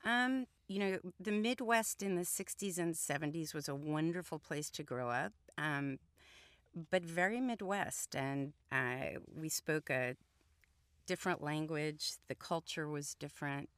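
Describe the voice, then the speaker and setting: A woman's voice: sits low, unhurried, fairly bright tone, clean tone, dry recording. A middle-aged woman from the American Midwest, a guest on a radio interview, talking thoughtfully with frequent ums and restarts. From Columbus, Ohio, United States. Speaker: guest, engineer and entrepreneur.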